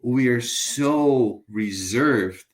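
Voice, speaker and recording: A man's voice: mid-range voice, extremely slow. A man from Bulgaria, a guest entrepreneur, talking in a reflective and earnest way, with frequent restarts. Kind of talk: casual conversational interview.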